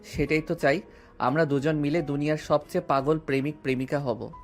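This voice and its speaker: A man's voice: a little high, fairly even intonation, brisk, close to an everyday voice. An actor playing a playful, persistent young man who courts a woman with teasing affection. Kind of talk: Bengali audio drama acting. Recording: music underneath.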